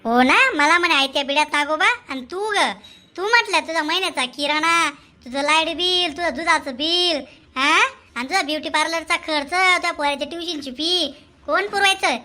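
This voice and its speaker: High, bright scolding woman. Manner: scolding, exclamatory, drawn-out theatrical delivery. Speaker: cartoon voice performer. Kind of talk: animated comedy dialogue in colloquial Marathi.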